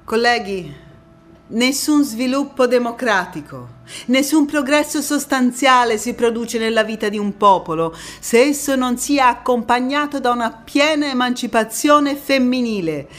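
Young female parliamentarian, theatrical, principled and firm, oratory and quiet recollection, over music. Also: on the high side, animated, measured pace. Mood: impassioned, indignant. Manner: impassioned oratory alternating with quiet intimate narration. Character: young female Constituent Assembly deputy, firm, indignant, reflective, ironic. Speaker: stage actress. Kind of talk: theatrical monologue.

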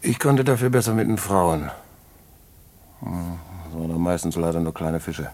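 A man playing a swaggering, slangy petty crook in a radio drama. He has a fairly low voice and tells his tale in a chatty, boastful way. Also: steady tone, moderate pace, darkish tone, close to an everyday voice. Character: boastful, slangy small-time crook telling his adventure. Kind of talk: radio play storytelling.

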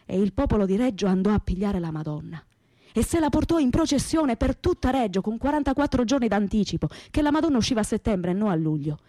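A woman's voice: mid-range voice, lively intonation, fast, dark tone, no room sound, close to an everyday voice. A woman playing a naive, plain-spoken young working-class woman from Reggio Calabria, in a theatrical monologue with a Calabrian accent. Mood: animated. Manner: colloquial dialect-tinged telling, repetitive. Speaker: stage actress, narrator. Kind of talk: theatrical first-person monologue, radio theatre storytelling.

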